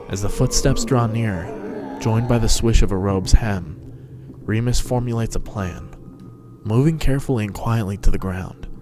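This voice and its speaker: A man giving a scripted, dramatic narration of a story. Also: on the low side. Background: music and sound effects.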